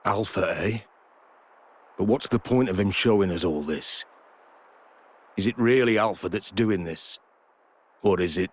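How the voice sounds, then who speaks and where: A British actor playing a gruff, seasoned, laconic older adventurer in a video game cutscene. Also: male voice, sits low. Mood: suspicious. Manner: laconic, measured.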